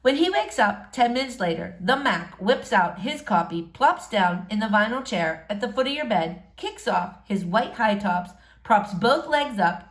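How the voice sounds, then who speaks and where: A woman narrating a novel aloud expressively, like a teacher reading to pupils. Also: medium pitch. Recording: roomy, echoing room sound.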